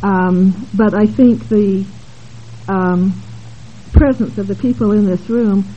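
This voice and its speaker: A woman moderating a conference panel, introducing the speakers in a halting way with many fillers and asides, in a room with an audience. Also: medium pitch, fairly even intonation. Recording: dull lo-fi conference tape with faint hiss.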